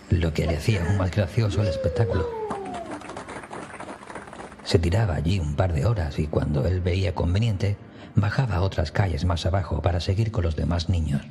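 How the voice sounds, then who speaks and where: A man with a very low voice narrates a scripted horror tale in the first person. His storytelling plays over clearly audible background music. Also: dark tone.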